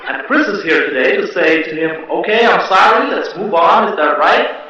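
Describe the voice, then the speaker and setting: A man playing a brisk, matey American talk show host who probes his guests in a spoof radio comedy. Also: sits high, lively intonation, highs cut off, crowd noise, voice pushed up. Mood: brisk, presenting. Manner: hesitant uhs, addressing studio audience and guests. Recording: very echoey room, distant from mic.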